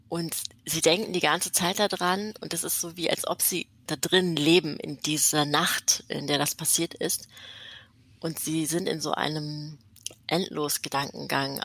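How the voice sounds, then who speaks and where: Low-voiced woman author, thoughtful. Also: fairly bright tone, dry recording. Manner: thoughtful, explanatory. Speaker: author, interview guest. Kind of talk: reflective interview answer.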